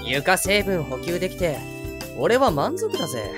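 Smitten anime schoolboy, breezy, voice bounces around, music under it. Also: male voice, high voice, huge pitch swings, a little slow, full character voice. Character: cocky, lovestruck high school boy, doting boyfriend. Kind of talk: anime-style audio drama acting.

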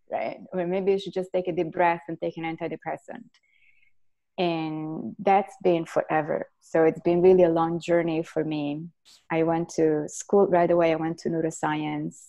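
Italian-accented woman, low mellow voice. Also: creaky, poor audio. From Italy. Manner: earnest, animated hand gestures. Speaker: guest neuroscientist. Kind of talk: video call interview. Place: living room at home. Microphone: earbud headset on video call.

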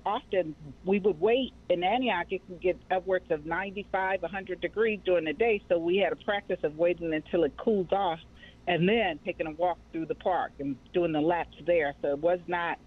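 A middle-aged woman with an American accent, talking conversationally as an interview guest. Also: lowish voice. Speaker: guest, mental health nonprofit director. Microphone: remote call. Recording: narrow tinny radio-like call audio.

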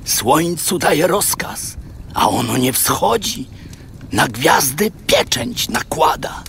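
Slow, anguished righteous sufferer. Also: male voice, a little high, sing-song, creaky, fairly bright tone, slight background noise, full character voice. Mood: awed, grave. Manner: solemn, halting, dramatic delivery. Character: Job, afflicted righteous man humbled before God's power. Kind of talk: dramatized audio Bible acting.

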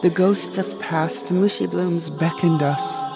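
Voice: medium pitch, fairly even intonation, slow pace, vocal fry. Florid sci-fi comedy narrator over music. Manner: florid storytelling. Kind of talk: dramatic first-person scripted narration, sci-fi comedy. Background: music behind the voice.

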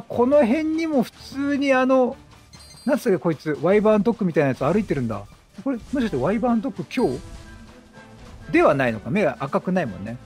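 A man's voice: high voice, darkish tone. A talkative man doing chatty let's-play commentary, exclaiming and talking to the game's characters. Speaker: gaming host. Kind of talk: chatty let's-play commentary, reading game dialogue aloud.

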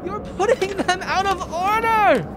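A woman playing a desperate, obsessive dying writer in an audio drama, halting and fraught as she freezes. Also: extremely high pitch. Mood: pleading, angry. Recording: clearly noisy background.

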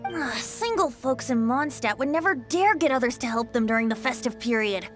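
A woman performs a boyish bard in a video game, teasing and airy. The voice sits high and swings playfully across its range. Faint music runs beneath it. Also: expressive, normal speed, fairly bright tone. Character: youthful, impish male bard, breezy and teasing. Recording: music over the dialogue.

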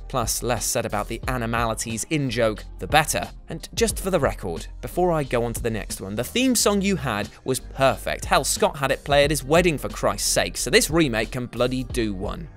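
A British man in his thirties presenting scripted countdown commentary in a sarcastic, emphatic, exaggerated manner. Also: medium pitch. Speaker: video list presenter. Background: background music.

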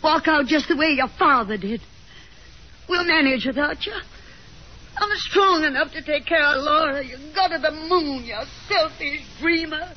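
A woman's voice: sits high, normal speed, natural voice. An actress in a 1950s radio drama playing a fussy, proud, middle-aged mother with a fluttery, chatty way of talking. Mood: bitter, angry. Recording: dull vintage radio recording with music.

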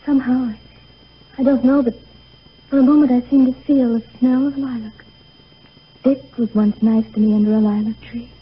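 Muffled old radio, high husky young sweetheart. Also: female voice, steady tone, normal speed, long pauses. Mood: wistful. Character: tender, sorrowful young sweetheart. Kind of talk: 1930s radio acting. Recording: muffled 1930s radio broadcast.

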